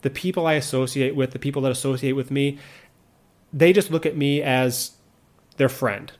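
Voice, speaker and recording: Guy in his 30s, earnest reflective talk, measured, restarts a lot, mid pitch. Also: steady tone. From United States. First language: English. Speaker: vlogger living abroad. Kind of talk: reflective personal monologue.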